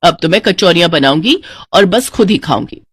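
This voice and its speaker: A woman voicing a house-proud, bossy middle-aged Indian mother in a cartoon story, dramatic and quick to scold. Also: on the low side, lively intonation, slight background noise, lightly acted. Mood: playful huffy.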